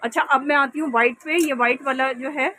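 A woman's voice: high voice, steady tone, brisk, pushed and bright. A woman vlogger from Pakistan touring a clothing shop, praising each dress with enthusiasm and repeating what the seller says. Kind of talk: shop tour vlog, presenting dresses. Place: small clothing shop in a mall.

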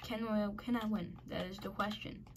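A woman giving casual commentary while playing a game, muttering and mocking herself in frustration, a little raspy at times. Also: medium pitch, steady tone, moderate pace, noisy background. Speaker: gaming channel host.

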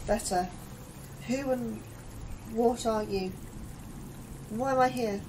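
An actress playing an anxious, sceptical astrobiologist in a horror audio drama, terse and questioning. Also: female voice, fairly high pitch, slow, distant mic, background noise. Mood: wary, tense.

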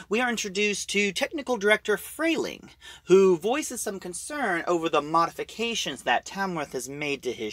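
A man giving an informal spoken review, retelling a plot in a halting, emphatic storyteller's way. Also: high voice, a bit bright, no echo.

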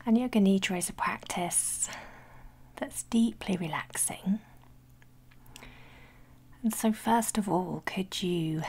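A woman leading a guided sleep meditation in a breathy, whispery soft voice, soothing and gentle, with long pauses between phrases. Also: fairly low pitch. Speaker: guided relaxation instructor.

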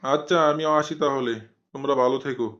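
A man's voice: medium pitch, steady tone, fast, a bit bright, muffled recording, close to an everyday voice. A man playing a village council member in a cartoon, with an authoritative, placating manner. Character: village council member, mild but easily offended man. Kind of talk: cartoon dialogue.

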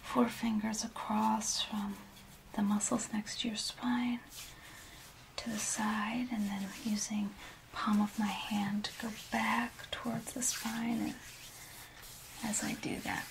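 A woman's voice: mid-range voice, little pitch movement, slow pace. A woman in her thirties whispering breathily in a soothing, instructive ASMR massage role play. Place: small indoor room. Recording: clearly noisy, with rubbing sounds.

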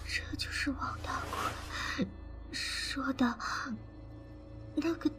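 Wounded young fugitive woman voiced by an actress, breathy and faltering, very slow, music behind. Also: high voice. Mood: weak, pleading. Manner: halting, faltering whisper. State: weak and injured, breathy. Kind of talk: audio drama acting.

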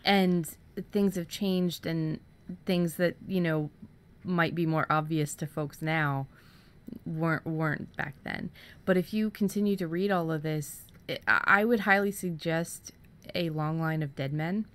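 A woman's voice: low-pitched, measured pace, smooth. A woman co-hosting a podcast, talking in a casual, conversational style. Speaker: podcast co-host.

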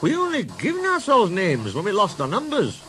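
A man's voice: high voice, wildly swooping pitch, normal speed, fairly bright tone, voice pushed up, heavily acted. A man voicing a sly, mock-innocent twin steam engine with a Scottish accent in a children's story. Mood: cheeky.

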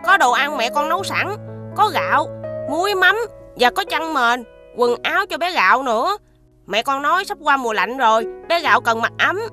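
A woman's voice: high-pitched, lively intonation, moderate pace, bright, forceful tone, soft background music. A woman voicing a polite, helpful young village child in a fairy-tale cartoon, earnest. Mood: polite, caring.

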